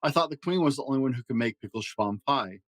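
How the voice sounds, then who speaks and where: Casting: kind-hearted young prince for a storybook, earnest, humble, soft-spoken, mid-pitched, very dry sound male reader acting it out. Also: expressive, fast, no echo, close to an everyday voice. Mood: excited, earnest. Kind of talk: storybook character reading.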